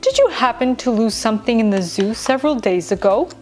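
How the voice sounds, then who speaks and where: A woman storyteller voices a polite, well-dressed gentleman from a storybook. He speaks with formal courtesy, and soft music plays underneath. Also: fairly high pitch, lively intonation, fairly quick, close to an everyday voice. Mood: courteous.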